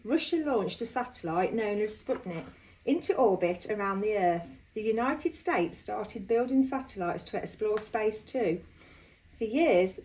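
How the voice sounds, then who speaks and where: A woman's voice: medium pitch, a little mellow. Woman reading aloud, away from the mic. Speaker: school staff member reading aloud. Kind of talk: storybook read-aloud. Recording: distant microphone.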